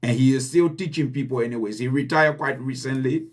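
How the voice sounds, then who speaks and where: A man with a Liberian accent giving an earnest, deliberate motivational talk to his channel's viewers, repeating his key points for emphasis. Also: medium pitch. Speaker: channel host. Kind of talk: motivational address.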